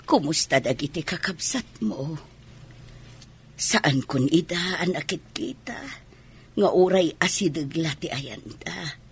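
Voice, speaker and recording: A woman's voice: low voice, slow pace, pauses often, breathy edge, exaggerated voice. A woman playing an ailing, grateful elderly mother in a radio drama, plaintive, with a Filipino accent. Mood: grateful, wistful. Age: older. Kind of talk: Ilocano radio drama acting.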